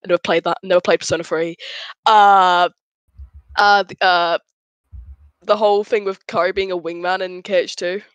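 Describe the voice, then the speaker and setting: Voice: mid-range voice, flat delivery, moderate pace, long pauses, a bit bright, clear, resonant tone, no room sound. A podcast panelist chatting casually and hesitantly, in short broken fragments. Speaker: podcast panelist and fandub voice actor. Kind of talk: casual chat.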